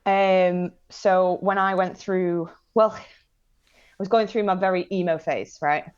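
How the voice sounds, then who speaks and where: Low-voiced young Northern English woman, dull audio. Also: fairly even intonation, a little slow, clear, resonant tone. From northern England, Manchester and Blackpool area. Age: late 20s. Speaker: podcast guest, skateboarder. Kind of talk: casual chat, personal anecdotes.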